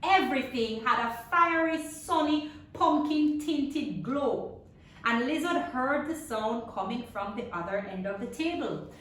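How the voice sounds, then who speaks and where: Female children's storyteller, slow and theatrical delivery, heavily echoing room. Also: high-pitched. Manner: expressive, dramatic, with drawn-out sound effects and questions to listeners. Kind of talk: animated read-aloud storytelling for young children. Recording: very echoey room, distant mic.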